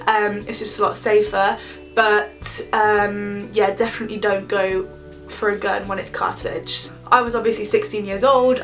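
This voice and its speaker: A woman's voice: mid-range voice, recorded from a distance. Nasal young woman with a cold. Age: young adult. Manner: chatty, rambling with many ums. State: stuffy nose from a cold. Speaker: vlogger. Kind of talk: casual chat to camera.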